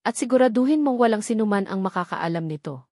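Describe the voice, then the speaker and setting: A woman's voice: medium pitch, lively intonation, a little mellow, dry recording, studio quality, natural voice. Woman voicing a commanding, secretive Filipino patriarch. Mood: urgent, secretive. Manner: measured, commanding. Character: authoritative, secretive older patriarch, calm but weighty.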